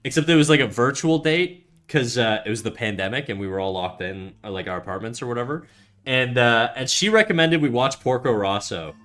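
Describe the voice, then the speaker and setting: Bright-voiced young Canadian man chatting. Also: medium pitch, lively intonation, fairly quick. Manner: rambling, swears often, many fillers and restarts. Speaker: art streamer. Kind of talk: casual livestream chat reading chat aloud.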